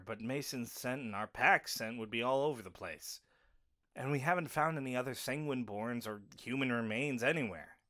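Practical mid-pitched werewolf man played by an actor. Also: a bit bright, close to an everyday voice. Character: practical, level-headed male werewolf of the pack. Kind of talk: audio drama acting.

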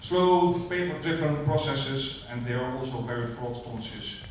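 A man's voice: mid-range voice, unhurried, slight background noise. A man with a Dutch accent giving a conference presentation, hesitant with frequent uhs. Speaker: company representative presenting. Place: large theater stage. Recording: echoey hall from far off.

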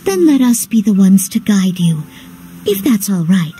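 Soft, very mellow young adventuress. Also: female voice, mid-range voice, sing-song, measured pace, a little hiss or hum. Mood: gentle, composed. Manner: earnest, polite, composed. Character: gentle, caring young female adventurer. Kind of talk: video game character acting.

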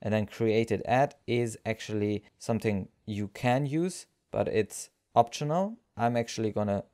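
A man narrating a software tutorial as its presenter, explaining things step by step. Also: on the low side, a little slow.

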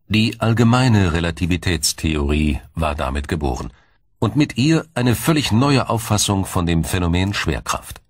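A man narrating a scripted science documentary in a measured, formal, explanatory way. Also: low-pitched, very animated, dry recording, studio quality.

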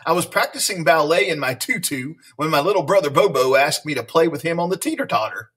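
Man voicing an earnest, high-pitched chatty schoolgirl. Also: animated, fairly quick, a bit bright, slightly rough, distant mic.

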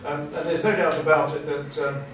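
An older man hosting a seminar with an informal, rambling, halting and jokey introduction. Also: on the high side, steady tone. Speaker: seminar host introducing a speaker. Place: small seminar room. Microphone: far camera microphone. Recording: distant mic, echoey noisy room.

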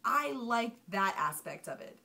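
A woman's voice: a little high, measured pace, creaky. Chatty young woman reviewing to webcam. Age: young adult. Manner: chatty, animated hand gestures, emphatic outbursts. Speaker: vlogger. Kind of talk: casual movie review to webcam. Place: small home room with shelves. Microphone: webcam at desk.

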